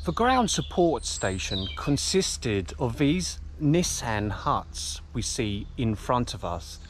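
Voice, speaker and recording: A man in his forties presenting a history documentary to camera in a measured, deliberate way, pausing between short phrases. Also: medium pitch, very animated, slight background noise. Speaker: host of a history exploration vlog. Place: outdoors among derelict buildings in woodland. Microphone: camera held at arm's length.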